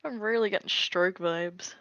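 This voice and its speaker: A woman's voice: on the low side, normal speed, no room sound, highs cut off. A woman joking casually on a live stream. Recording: loud music behind the voice.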